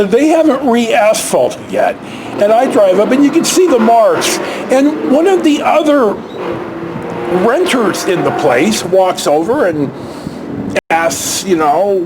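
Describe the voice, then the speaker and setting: High-pitched man telling a rambling story. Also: noisy background. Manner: halting, rambling, with long hesitations. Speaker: storytelling vlog host. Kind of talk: casual anecdotal storytelling.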